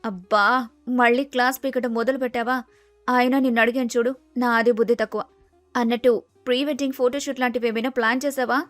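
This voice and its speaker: Woman as pushy young bride-to-be, very high voice. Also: fairly even intonation, fast, no echo, soft background music, natural voice. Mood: exasperated. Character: excitable, vain young bride-to-be, pushy and impatient. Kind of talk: acted storybook dialogue.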